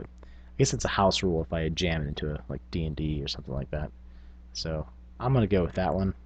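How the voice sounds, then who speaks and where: A man's voice: sits low, darkish tone, dull audio. A man hosting a tabletop gaming vlog, talking casually in a rambling, self-effacing, unscripted way. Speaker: tabletop gaming video host.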